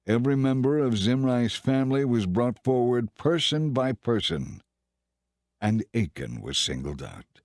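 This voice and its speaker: A man giving solemn, measured narration of scripture for a dramatized audiobook. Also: medium pitch, sing-song, dry recording, studio quality.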